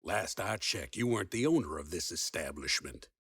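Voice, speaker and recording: Low-voiced, no-nonsense sheriff played by a man. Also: expressive, moderate pace, a bit bright, slightly rough, no echo, studio quality, exaggerated voice. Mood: firm, calm. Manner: authoritative, no-nonsense. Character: firm, fair Old West sheriff, unimpressed by troublemakers. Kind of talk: audio drama western acting.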